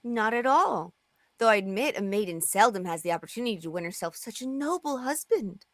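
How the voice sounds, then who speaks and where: A woman's voice: mid-range voice, animated, a little fast, no room sound, close to an everyday voice. A woman voicing a coy, bluffing young maiden in a comedic script read, with a coquettish, melodramatic manner.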